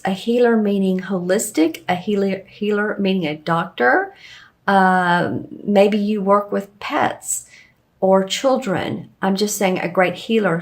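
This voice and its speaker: A woman with a slightly hoarse voice talks viewers through a tarot spread. She rambles gently, circling back with frequent ums and restarts. Her pitch sits in an ordinary middle range. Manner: meandering, with frequent fillers and restarts. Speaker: tarot reader. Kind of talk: casual spoken tarot reading to camera.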